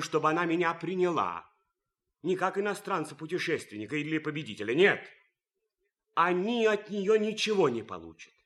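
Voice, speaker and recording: An actor playing an idealistic, cultured German officer in a radio drama, speaking with solemn, impassioned deliberation. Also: male voice, a little high, bright, forceful tone, heavily acted. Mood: fervent, insistent. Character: idealistic German officer, cultured music lover, ardent and reverent. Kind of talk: theatrical radio drama monologue.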